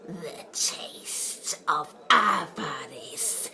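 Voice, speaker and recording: Spooky veiled prophet lady, super slow and croaky, breathy cryptic warnings, radio drama. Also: low-pitched, whispered, full character voice. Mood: ominous. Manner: cryptic, portentous, archaic formal phrasing. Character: veiled mysterious woman uttering ominous prophetic warnings. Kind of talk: audio drama acting.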